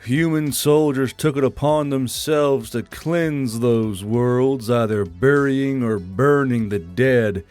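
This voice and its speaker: An actor playing a tired, solemn male drill sergeant, giving a grave, deliberate war speech in an audio story. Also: mid-range voice, a little mellow, faint music behind, natural voice. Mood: solemn, regretful. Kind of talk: dramatic audiobook character reading.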